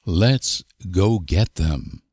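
Very slow, deep-voiced man. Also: big pitch swings, gravelly, darkish tone, slightly rough, no echo, clean studio sound. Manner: deliberate, careful enunciation. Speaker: language teacher. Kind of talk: slow repeated example sentences for language learners.

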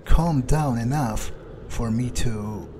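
A man's voice: medium pitch, takes it slowly, background noise. A man narrating his character's thoughts aloud during a game, hesitant and musing, with muttered curses. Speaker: gamer playing in character. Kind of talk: in-character roleplay monologue over gameplay.

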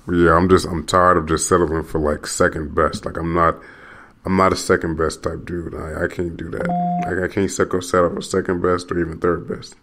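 A man's voice: low-pitched, level tone, fairly quick, a bit bright, slight background noise. A young American man hosting a solo podcast, rambling and restless, repeating himself and cursing as he rants. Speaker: podcast host.

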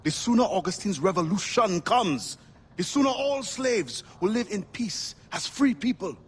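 Game role: resolute pirate assassin, very raspy, widely swinging intonation, grave and defiant. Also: male voice, high-pitched, animated, moderate pace. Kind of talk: dramatic video game acting.

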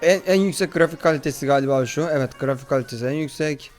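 A man hosting a horror game playthrough in slangy, excitable, casual chat, often addressing his viewers. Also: a little high, quick pace, faint music behind. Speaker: gaming video host.

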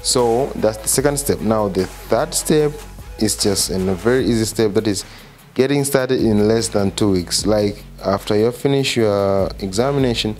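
Young Tanzanian man, Swahili-speaking, low-pitched, informal vlogger who rephrases himself; living room, handheld mic. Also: moderate pace, darkish tone, faint music behind. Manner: informal, repeats and corrects himself. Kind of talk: casual explanatory vlog. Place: living room, seated on a sofa. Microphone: handheld microphone.

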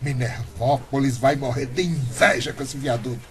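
An actor with a Brazilian accent playing an excitable, proud and naive eccentric husband in a comic radio soap. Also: male voice, mid-range voice, moderate pace, natural voice. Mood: enthusiastic.

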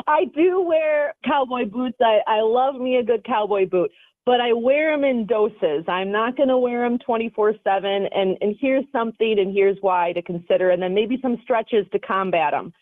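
Energetic woman calling in, chatty, phone-line sound, dry. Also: fairly high pitch, normal speed, no echo. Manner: energetic, chatty, self-correcting. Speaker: radio show guest, foot health coach. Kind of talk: casual explanatory radio talk.

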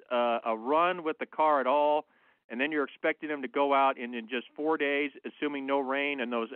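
A man giving measured, analytical, opinionated sports commentary in a conversational way, as a motorsport analyst on a radio show. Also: mid-range voice, clear, resonant tone, no room sound. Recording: phone-like, narrow-band dry line.

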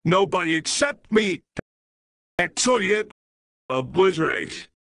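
A man's voice: high-pitched, sing-song, slow, creaky, fairly bright tone, no room sound, clean studio sound, full character voice. A man voicing a bold, brash teenage card-duelling hero in a theatrical, declamatory parody anime dub. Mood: triumphant.

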